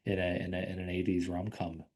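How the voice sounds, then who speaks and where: A man with a lowish voice talks through films on a casual podcast. He rambles along with plenty of ums and likes, and he swears freely. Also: monotone, brisk, a little mellow. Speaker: podcast co-host. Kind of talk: casual film discussion.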